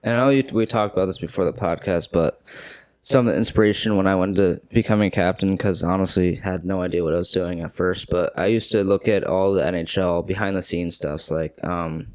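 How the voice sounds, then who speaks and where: A young man co-hosting a podcast as a hockey player, chatting in a relaxed, agreeable way and saying "like" often. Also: deep voice, a little fast, no echo. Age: young adult. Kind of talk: casual podcast chat.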